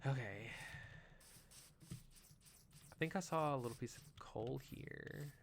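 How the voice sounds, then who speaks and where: A man with a nasal voice, just getting over an illness, chatting excitably through casual live gameplay commentary as a game streamer. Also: medium pitch, takes it slowly. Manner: chatty and excitable, lots of "like" and "you know". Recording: loud background noise.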